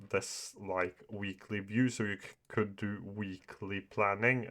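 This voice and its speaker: A man's voice: on the low side, fairly bright tone. A young man whose first language is Norwegian or Farsi gives a casual walkthrough of an app, filling his talk with "uh" and "like". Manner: many fillers, "uh" and "like", hesitant. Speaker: YouTuber reviewing apps. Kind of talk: casual screen-share walkthrough.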